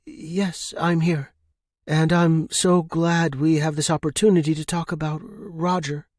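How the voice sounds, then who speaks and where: A man acts out a young woman in a suspense story. The voice is lifted in pitch, hesitant and polite, with a placating manner. The sound is dry and close. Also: flat delivery, a little slow, a little mellow, no room sound. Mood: soothing. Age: young adult. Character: young woman, tactful, hesitant, soothing spirit medium.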